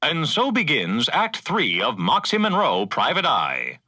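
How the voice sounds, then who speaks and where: A man's voice: medium pitch, huge pitch swings, measured pace, bright, forceful tone, full character voice. An actor playing an easily flustered male radio announcer in a comedic audio drama. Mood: polished announcing.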